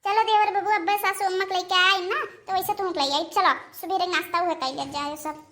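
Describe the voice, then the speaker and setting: A performer doing a high, bright Awadhi daughter-in-law. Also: steady tone, moderate pace. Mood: grumbling. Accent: Awadhi, eastern Uttar Pradesh. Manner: pleading, explaining. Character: put-upon village daughter-in-law, anxious and deferential. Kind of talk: Awadhi family cartoon voice acting.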